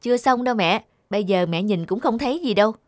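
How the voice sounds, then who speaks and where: A man's voice: sits high, expressive, fast talker, no echo, clean studio sound. A man voicing a caring young father in a cartoon, reassuring and coaxing. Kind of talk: cartoon voice acting.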